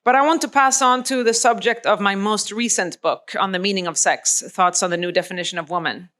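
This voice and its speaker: A woman's voice: medium pitch, a little fast, a bit bright, a little hiss or hum. Woman lecturing with rhetorical questions. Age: adult with a young child. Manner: conversational, frequent fillers and rhetorical questions. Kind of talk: public lecture.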